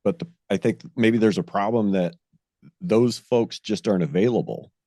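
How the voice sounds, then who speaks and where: A man's voice: lowish voice, moderate pace, dark tone, no echo, clean studio sound. A man hosting a ranching podcast, chatting casually with hesitant restarts. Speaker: podcast host. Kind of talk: interview conversation.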